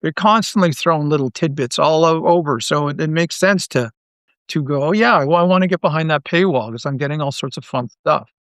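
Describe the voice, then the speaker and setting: Higher-voiced man chatting, dry close sound. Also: fairly quick, a bit bright, no room sound. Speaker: interview guest, audio drama creator. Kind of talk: casual podcast interview.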